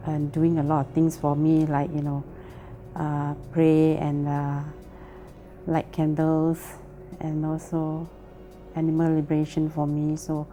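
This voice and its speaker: A woman with a Malaysian English accent tells her story in a plain, earnest way. Her voice sits low and mellow, with little rise and fall. Soft music plays faintly underneath. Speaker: testimony speaker. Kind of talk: personal testimony.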